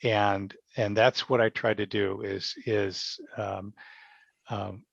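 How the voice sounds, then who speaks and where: A man talking casually as an interview guest, explaining through anecdotes, often with halting starts. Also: on the low side, level tone, creaky, slightly rough, dry recording, muffled recording. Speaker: podcast guest, stage actor and director.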